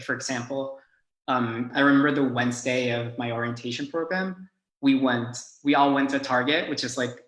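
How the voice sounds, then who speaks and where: A man's voice: mid-range voice, a bit bright. Easygoing young man from Mexico. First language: Spanish. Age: young adult. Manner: easygoing, frequent "you know". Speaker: student host. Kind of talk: casual Q&A, reading questions. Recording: echoey room.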